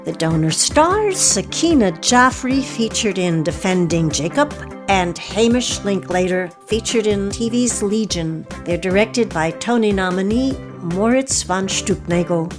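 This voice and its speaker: A woman's voice: medium pitch, animated, unhurried, hardly any pauses. A woman reading scripted introductions and stage directions as the announcer of an audio play. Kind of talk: scripted narration. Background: music.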